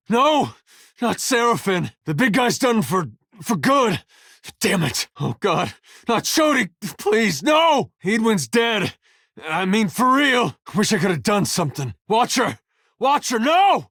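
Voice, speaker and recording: A man's voice: medium pitch, slightly rough, dry recording, clean studio sound. A man voicing an easygoing, wry farmer turned adventurer for a video game, with a folksy, drily humorous way of talking.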